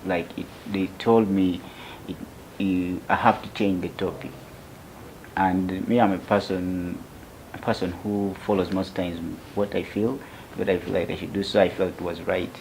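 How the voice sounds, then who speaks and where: Ugandan man in his twenties, interview guest; very low, gravelly voice, slow and soft-spoken, faint hiss behind. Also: little pitch movement, rough recording. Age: 20s. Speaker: young tech founder, interview guest. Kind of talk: interview answers.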